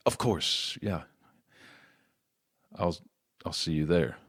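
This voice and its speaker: Actor as a deep-voiced, remorseful father. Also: no room sound, clean studio sound, exaggerated voice. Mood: relieved, warm. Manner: halting, earnest, repeats himself. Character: remorseful politician father of a grown daughter. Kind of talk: audio play acting.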